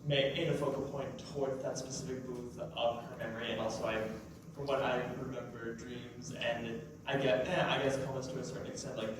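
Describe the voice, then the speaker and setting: Very echoey man, halting and filler-heavy. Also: mid-range voice. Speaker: audience member giving feedback. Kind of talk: casual spoken feedback. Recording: very echoey room, distant, noisy.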